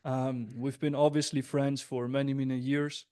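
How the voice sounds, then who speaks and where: A man's voice: medium pitch, level tone, normal speed, warm tone, clear, resonant tone. Upbeat young man hosting an interview. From Switzerland. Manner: upbeat, friendly and direct, addressing the audience. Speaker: host, business coach.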